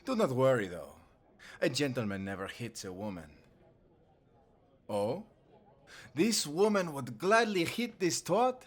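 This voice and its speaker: A smug Spanish-accented charmer sliding onto the next bar stool in an audio drama, acted by a man, formal, flirtatious and condescending, his pitch gliding up and down. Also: big pitch swings, unhurried, long pauses. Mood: smooth, flirtatious. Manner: formal, smooth-talking, condescending. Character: suave, smug Spanish gentleman, flirtatious wannabe warlock. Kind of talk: audio roleplay acting.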